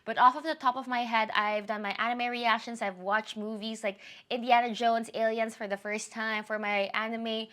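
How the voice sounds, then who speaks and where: Bright-voiced woman chatting to camera. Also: a little high, flat delivery, moderate pace, few pauses. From Philippines. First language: Tagalog. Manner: chatty, apologetic, rambling with self-corrections. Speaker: YouTuber giving a channel update. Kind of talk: casual chat to camera.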